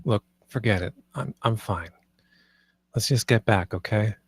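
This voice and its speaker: A man's voice: lowish voice, a little slow, breathy edge, no echo. A man playing a conflicted, guilt-ridden young man in a drama table read, hesitant and earnest. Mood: resigned.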